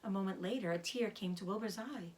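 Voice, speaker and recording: A woman reading a children's story aloud as host, with expressive storybook narration. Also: medium pitch, fairly quick.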